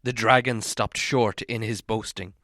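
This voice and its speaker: A man reading a story aloud as an audiobook narrator, in an expressive style. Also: lowish voice, a little slow, heavy background noise. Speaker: audiobook reader.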